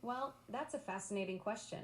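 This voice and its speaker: A woman's voice: mid-range voice, a little fast, echoey room, slight background noise. A synthetic female voice assistant talking in a bubbly, enthusiastic, eager-to-please way. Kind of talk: upbeat synthetic assistant chat.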